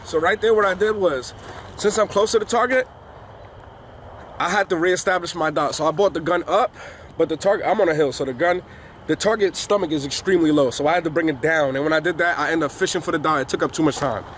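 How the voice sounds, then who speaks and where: A congested man with a fairly high voice coaches in a laid-back, slangy way on an outdoor range. Traffic and open-air noise carry clearly behind him, and his nose sounds blocked. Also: quick pace, fairly bright tone. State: congested from illness. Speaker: firearms instructor. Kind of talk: casual instructional vlog. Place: outdoors on a hilly shooting range, rain starting.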